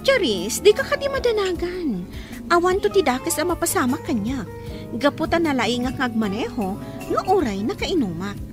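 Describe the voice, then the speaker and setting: An actress playing a reckless, rebellious high school girl in a radio drama, with music under her. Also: big pitch swings, moderate pace. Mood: careless, defiant.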